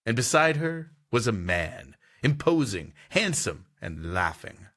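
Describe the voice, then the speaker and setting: A man's voice: medium pitch, very animated, measured pace, fairly bright tone. A man co-hosting a podcast, wryly and dramatically narrating a vintage sci-fi story and joking with his co-host. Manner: wry, laughs easily, dramatic narration.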